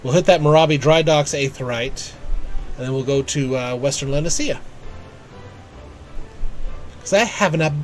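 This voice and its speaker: A man giving casual live game commentary, thinking aloud in halting fragments as he plays. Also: a little high, soft background music. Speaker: game streamer.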